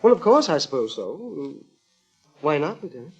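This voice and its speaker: An actor playing a nervous, evasive suspect, flustered and overly polite, in 1950s radio crime drama style. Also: male voice, on the high side, sing-song, slightly rough, exaggerated voice. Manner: flustered, halting, deferential. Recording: muffled old radio broadcast, music behind.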